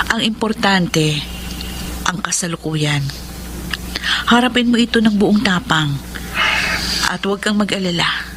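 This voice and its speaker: A woman's voice: on the low side, a bit bright, slight background noise. A woman with a Filipino accent playing a devoted, steadfast young sweetheart, reassuring and solemn in a melodramatic radio drama.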